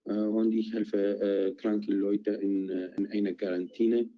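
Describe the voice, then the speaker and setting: A man speaks slowly and haltingly in a low, very dark voice. He hesitates often, padding his answers with fillers. The sound is dull and lo-fi, like an online call. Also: little pitch movement. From Iraq. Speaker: project participant. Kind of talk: interview answers in learner German. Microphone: video call.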